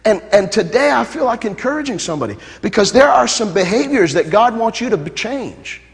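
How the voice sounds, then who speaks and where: Man, pastor, high voice, fervent sermon, repetitive build-ups, long hall reverb. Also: very animated, a little fast, vocal fry. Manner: jokes with the congregation.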